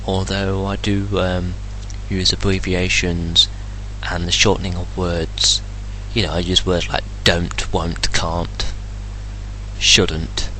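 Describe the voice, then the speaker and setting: An English man rants into his camera in a blunt, indignant way, swearing without a second thought. His voice sits on the low side but has a bright, forceful edge. A faint electrical hum runs underneath. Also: level tone, takes it slowly, rough recording. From England. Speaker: vlogger. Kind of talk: ranting solo vlog.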